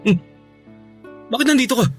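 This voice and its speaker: A man's voice: very high voice, lively intonation, moderate pace, faint music behind. A man playing an irritated, guarded young ex-boyfriend in a Filipino radio drama, curt and stern. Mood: curt, annoyed.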